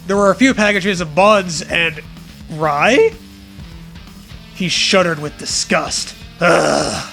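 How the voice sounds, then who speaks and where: Creaky, higher-pitched man reading mockingly. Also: slow, fairly bright tone, soft background music. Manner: mocking, profane. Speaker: co-host reading fan fiction aloud. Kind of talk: comedic read-aloud with casual banter.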